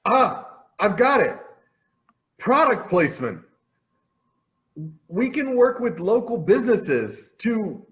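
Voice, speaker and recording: A man playing an eager, scheming theater board member in a farce, grandly pitching his ideas. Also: fairly bright tone, full character voice, poor audio. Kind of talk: farcical comedy acting.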